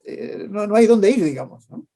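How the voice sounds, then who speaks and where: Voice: mid-range voice, expressive, moderate pace, dark tone. An interviewer speaks emphatically and keeps asking rhetorical tag questions. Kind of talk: interview, frequent backchannel.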